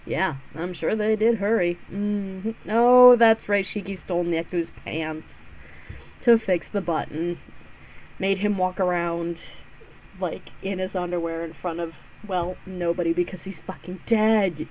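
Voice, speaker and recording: A woman's voice: fairly low pitch, steady tone, unhurried, darkish tone, a little hiss or hum, rough recording. A woman hosting a let's play, reading a visual novel aloud with sarcastic, giggly asides and free swearing. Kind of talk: casual, joking read-through with asides.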